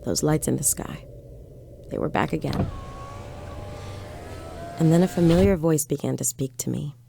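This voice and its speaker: A woman's voice: deep voice, moderate pace. An actress playing an uneasy woman driving alone at night, telling her strange story in a hesitant, reflective way for an audio drama. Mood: haunted, stunned. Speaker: lead actress narrating in first person. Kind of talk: dramatic audio fiction monologue and dialogue. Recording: clear vehicle and road ambience noise.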